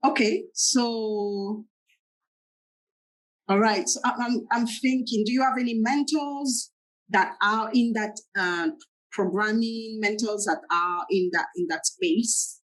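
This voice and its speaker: A woman from France hosting an interview show, talking in a halting way, often repeating words and giving short agreeing sounds. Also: a little high, low-quality recording. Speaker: show host.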